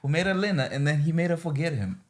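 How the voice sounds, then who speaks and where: A man hosting a podcast in casual chat, playful and teasing. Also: fast talker. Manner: playful, teasing, argues with animated pitch swings. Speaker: podcast host.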